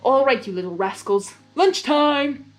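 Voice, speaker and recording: Storybook chaos creature, male, played by a woman: reluctant, grumbling, loud and theatrical, faint music underneath. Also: sits high, expressive, a little slow, pitched above the performer's own voice. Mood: grudging. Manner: exasperated, blustering.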